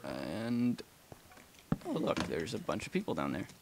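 A man's voice: sits low, wildly swooping pitch, a little slow, creaky, heavy background noise. A young man casually chatting and rambling through a let's play, with frequent ums and small laughs. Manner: rambling and chatty, many ums, gasps and laughs while playing. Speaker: gaming channel host.

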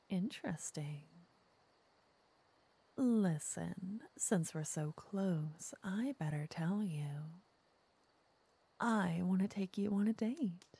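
A woman playing a flirty, teasing witch in an ASMR roleplay, softly and often in whispers, intimate and coaxing. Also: lowish voice, heavily acted. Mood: flirty, tender. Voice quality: soft, breathy, often whispering. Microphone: close microphone.

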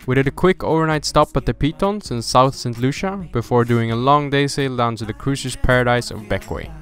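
Brief: thirties man, mid-pitched voice, steady travel narration, music bed. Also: brisk. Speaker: sailing vlogger narrator. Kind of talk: scripted voice-over narration. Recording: voice-over with music behind it.

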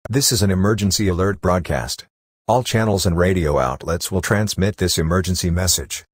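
A man's voice: deep voice, moderate pace, no room sound, studio quality. A man reading a scripted emergency broadcast announcement.

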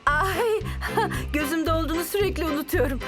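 A very high-voiced cartoon mom. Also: female voice, normal speed, over music. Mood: puzzled, amused. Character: sweet, absent-minded cartoon mother. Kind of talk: children's cartoon dub.

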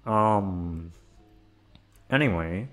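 A man wraps up his audio with an offhand, rambling chat. His voice sits low but bounces around a lot in pitch. He fills pauses with ums and pokes fun at himself. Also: lively intonation, fairly bright tone, soft background music. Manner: rambling, self-deprecating, many fillers. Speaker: voice actor. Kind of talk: casual outro chat.

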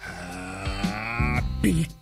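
An actor playing a reflective, rough-spoken man, fond and easily flustered, narrating in a radio drama. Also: on the low side, lively intonation, over loud music, exaggerated voice. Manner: slow, musing, casual masculine speech.

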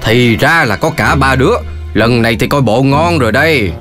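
A man's voice: medium pitch, moderate pace, close to an everyday voice. A man voicing a stern, exasperated middle-aged father in a children's cartoon, lecturing emphatically with a Southern Vietnamese accent. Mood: angry. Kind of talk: children's cartoon dub acting. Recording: loud music behind the voice.

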